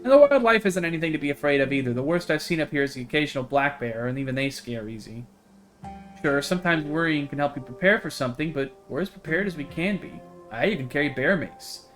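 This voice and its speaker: A man's voice: a little high, expressive, fast, fairly bright tone, faint music behind. A man voicing a chatty, carefree young woman in a visual novel, in a breezy, rambling storytelling manner. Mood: reassuring. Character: chatty, carefree young woman who hikes hunting cryptids.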